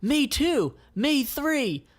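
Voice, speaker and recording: Comic read-aloud role: male reader as cheerful young woman musician, high, friendly, keen. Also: very animated, very slow, heavily acted. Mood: eager, friendly.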